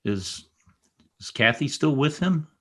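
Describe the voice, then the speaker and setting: A man's voice: a little high, animated, slow. A man playing a bitter, lovesick young stable hand, passionate and brooding, in old-time radio drama style. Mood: anguished, pleading. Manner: intense, scornful and pleading. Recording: amateur radio play recorded over video call.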